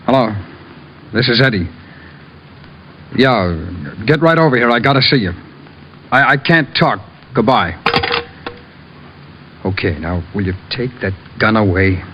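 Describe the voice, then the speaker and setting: Man acting blustering gangster boss, swooping pitch. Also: expressive, unhurried, long pauses, close to an everyday voice. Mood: nervous. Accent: American. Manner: slangy, blustering tough-guy talk. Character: shifty tough gangster nightclub boss, nervous under threat. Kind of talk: 1940s-50s radio crime drama acting. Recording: dull noisy old radio recording.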